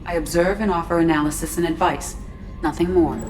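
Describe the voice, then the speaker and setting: A woman's voice: lowish voice, fairly even intonation, close to an everyday voice. A woman voicing EDI, a precise ship AI. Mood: even. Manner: measured, formal, no contractions. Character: calm female starship AI, precise and formal. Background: game music score.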